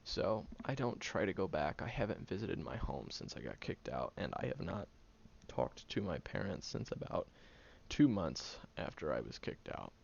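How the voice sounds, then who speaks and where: A man playing a prickly, guarded young loner who grudgingly cares, in an intimate one-sided audio roleplay, sardonic and hesitant with breathy murmurs. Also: deep voice, very animated, moderate pace, heavily acted. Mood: bitter, defensive. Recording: muffled, lo-fi, noticeable background hiss.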